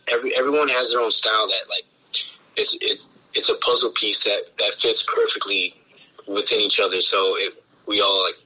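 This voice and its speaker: A man, a punk band's bassist, talking loosely in a casual interview, full of swearing and filler words. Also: fairly even intonation, moderate pace, bright, forceful tone, slightly rough, poor audio. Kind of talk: casual podcast conversation.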